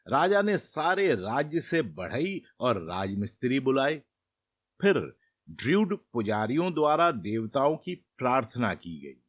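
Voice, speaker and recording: A man narrating a scripted fairy tale as a storyteller, in a measured and expressive manner. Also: medium pitch, no echo.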